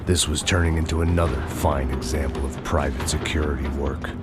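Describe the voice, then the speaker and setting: Deep-voiced, sardonic, burnt-out ex-cop narrating a game; loud score behind. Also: male voice, fairly even intonation, fairly quick, loud music behind the voice. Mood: weary. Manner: bleak, sardonic, world-weary. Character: weary hard-drinking ex-cop bodyguard, older man. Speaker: noir narrator and lead character. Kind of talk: video game cutscene voice acting. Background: loud cinematic score.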